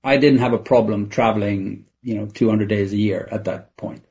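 This is a Swedish man with a Swedish accent and a raspy, fry-laden voice that stays fairly flat. He speaks as a non-native speaker, answering an interviewer in a reflective, candid and conversational style. The sound is slightly dull. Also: fairly low pitch, normal speed. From Sweden. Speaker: tech entrepreneur interviewed as a guest.

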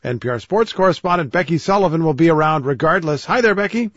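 A man hosting a radio news program, asking brisk, conversational questions. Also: on the high side, animated, fairly bright tone, no room sound, highs cut off.

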